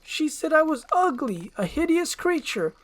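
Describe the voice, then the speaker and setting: A woman's voice: sits high, very animated, normal speed, pitched above the performer's own voice. A woman voicing a big, grumpy, insecure male ogre with a blunt, defensive manner in a storybook screenplay reading. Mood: defensive, hurt. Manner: gruff-tempered, defensive, blunt.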